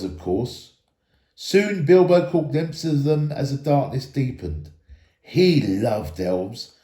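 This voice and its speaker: A man giving an animated, expressive reading of a children's fantasy story. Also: on the high side. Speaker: storybook reader, school staff member. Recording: echoey room.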